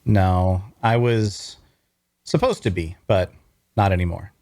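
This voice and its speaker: Low-voiced man playing a fantasy traveler, close and dry. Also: slow, darkish tone, dry recording. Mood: friendly, guarded. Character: wry young male traveler narrating his fantasy adventure. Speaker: voice actor. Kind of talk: first-person scripted narration at a table read.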